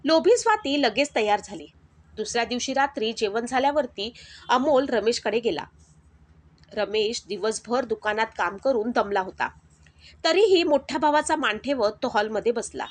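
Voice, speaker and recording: A woman reads out a family moral story in a scripted, dramatic storytelling voice. Her pitch sits fairly high, and her delivery stays steady and measured.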